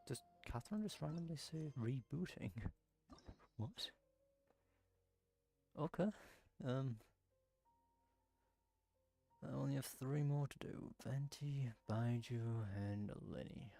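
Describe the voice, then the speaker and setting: Gravelly voice, mid-range pitch, casual gameplay livestream commentary, rambling, thinking aloud. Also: takes it slowly, long pauses, mellow, soft background music. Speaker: video game streamer.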